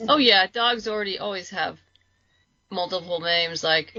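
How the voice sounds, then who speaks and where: A woman's voice: on the low side, normal speed, pushed and bright, dry recording, dull audio. A woman chatting casually and informally as a guest on a podcast. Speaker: interview guest, comic and audio drama creator.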